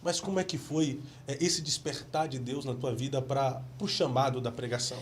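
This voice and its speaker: A man's voice: medium pitch, steady tone, moderate pace, a little hiss or hum. A man from Brazil hosting a podcast, asking a pastor short, respectful questions. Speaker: podcast host. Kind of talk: interviewing.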